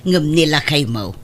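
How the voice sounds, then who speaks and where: A woman's voice: sits low, heavily acted. A woman with an Ilocano Filipino accent acting a stubborn, indulgent elderly friend in a comedy drama.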